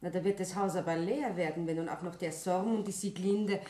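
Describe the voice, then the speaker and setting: A woman's voice: lowish voice, steady tone, a little fast, warm tone, roomy sound, natural voice. A woman acting a bossy yet evasive Bavarian tavern landlady in a 1960s German radio play. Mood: chatty.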